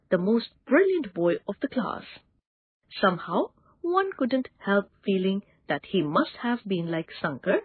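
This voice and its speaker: Casting: woman narrator for children's tales, animated intonation, husky tone, thin phone-like sound. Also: medium pitch, no room sound. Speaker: storyteller, narrator. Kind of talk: scripted children's story narration. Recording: band-limited, tinny, lo-fi audio.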